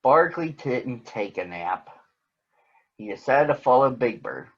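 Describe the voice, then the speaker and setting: A man with a husky voice reads a story aloud for children. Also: medium pitch, a bit bright, highs cut off. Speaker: storybook reader. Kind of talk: read-aloud narration for children.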